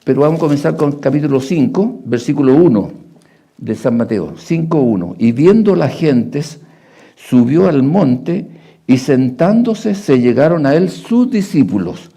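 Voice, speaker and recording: A man who grew up in Argentina, Paraguay and Chile, preaching a sermon with Bible readings in halting, reflective short phrases. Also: on the high side, expressive, warm tone, husky.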